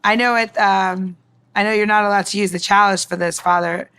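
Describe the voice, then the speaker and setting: A woman's voice: mid-range voice, fairly even intonation, brisk, fairly bright tone, clear, resonant tone. A woman mixologist giving a casual, informal demonstration, chatting and explaining as she goes. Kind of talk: casual cooking-style demonstration.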